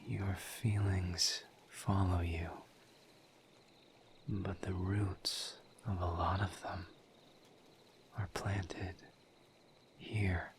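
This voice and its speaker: Casting: male actor as gentle misery demon for audio roleplay; low, breathy whisper, extremely slow and soothing. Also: little pitch movement, noisy background, exaggerated voice. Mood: gentle, consoling. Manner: slow, halting, soothing, with long pauses.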